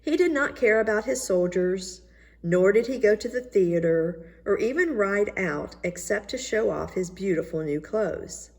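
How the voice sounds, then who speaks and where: A woman reading a children's story aloud in an expressive, measured narrating style. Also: medium pitch. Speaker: storybook reader.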